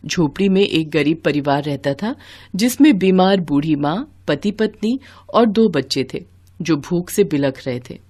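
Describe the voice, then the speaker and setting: A woman narrating a devotional children's story in a slow, even storytelling manner. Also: sits low. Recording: music playing behind.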